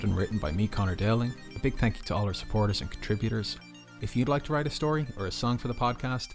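A man hosting a horror anthology podcast, reading a theatrical scripted sign-off. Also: fairly low pitch. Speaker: podcast host.

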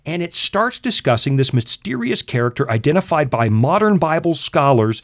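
Co-host, middle-aged man from Canada, lively pitch, chatty Bible teacher who self-corrects. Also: moderate pace. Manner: animated, self-deprecating, restarts and corrects himself. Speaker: radio Bible show co-host.

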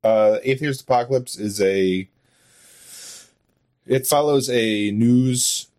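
A man's voice: mid-range voice, takes it slowly, darkish tone, no room sound, clean studio sound. A man chatting casually as a podcast guest, rambling in a self-deprecating, chuckling way. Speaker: podcast guest, audio drama writer and voice actor. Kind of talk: casual interview chat.

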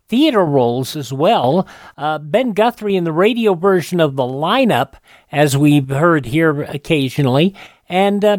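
A man's voice: sits high, a little mellow, no room sound. A man hosting a classic radio programme, presenting background notes informally at a close studio microphone. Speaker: radio show host.

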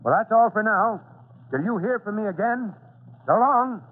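A man's voice: high-pitched, lively intonation, normal speed, slightly rough. An actor playing a brave, fatherly veteran fire chief in a 1940s radio serial. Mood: fatherly, earnest. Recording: noisy, narrow-band 1940s radio recording.

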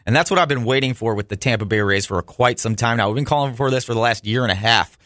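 A man's voice: medium pitch, quick pace, a bit bright, no room sound. An American man hosting a sports talk radio show, speaking in a chatty, informal, opinionated way on a close studio microphone.

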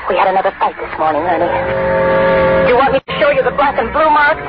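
An actress with an American accent playing a scheming, insistent wife in a 1940s radio thriller, pressing and coaxing in a dramatic manner. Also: female voice, on the high side, big pitch swings, quick pace. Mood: bitter. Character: scheming, manipulative femme fatale wife, hard and insistent. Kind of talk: 1940s radio suspense acting. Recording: muffled, very noisy 1940s radio recording.

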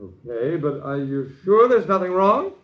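An actor playing a polite, wordy, down-at-heel lodger in a 1950s radio drama, with a formal, precise delivery. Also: male voice, fairly high pitch, sing-song, a little slow, fairly bright tone, highs cut off. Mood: polite, puzzled. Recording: band-limited old radio recording.

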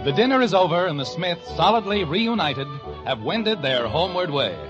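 A man's voice: high voice, big pitch swings, normal speed. A man narrating and announcing a 1950s radio show from a script. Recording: muffled old radio recording with music. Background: music.

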